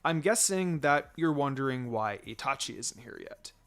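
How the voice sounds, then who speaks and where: A man's voice: medium pitch, expressive, moderate pace, a bit bright, faint music behind. A man voicing a teasing young male ninja who probes his friend casually, in a story reading. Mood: probing, casual. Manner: casual, probing.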